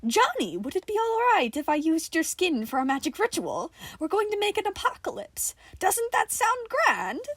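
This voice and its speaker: A woman voicing a delighted, unnaturally chipper wooden toy soldier with old-fashioned gentlemanly speech in an audio story. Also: very high-pitched, sing-song, moderate pace, few pauses, no room sound, pitched above the performer's own voice.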